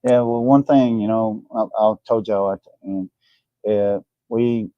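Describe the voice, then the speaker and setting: A man's voice: on the low side, fairly even intonation, unhurried, no echo, lo-fi sound. A man, hosting a casual interview in an informal, encouraging way. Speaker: host.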